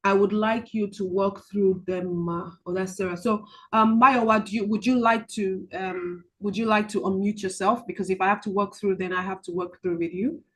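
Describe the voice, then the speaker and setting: Halting woman money coach on a call. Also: medium pitch, fairly even intonation, low-quality recording. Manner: halting, many filler ums and restarts; soft, slow guided-relaxation instructions. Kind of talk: online group coaching session with guided visualization. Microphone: video call.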